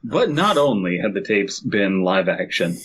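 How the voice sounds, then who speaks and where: A man's voice: deep voice, sing-song, measured pace, a bit bright, slightly rough. A man co-hosting a podcast on a remote call, joking, swearing and breaking into laughter as he reads fan fiction aloud in casual mock fashion. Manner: jokey, profane, breaks into laughter. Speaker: podcast co-host.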